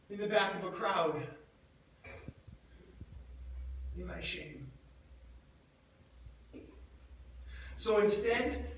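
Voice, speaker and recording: An actor playing a grief-stricken, guilt-ridden disciple of Jesus in a theatrical monologue, speaking in a halting, emotive way. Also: male voice, high-pitched. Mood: ashamed, subdued. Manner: halting, anguished, emotive delivery with repeated words. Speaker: actor in a church dramatic monologue. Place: large echoing church indoors. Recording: echoey church, far from the mic.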